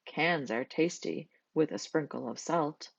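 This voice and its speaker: A woman voicing a devoted, cheerful gentleman goat in a children's storybook, earnest and polite. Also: low voice, a little slow, creaky, husky, dry recording, highs cut off, full character voice. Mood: pleased.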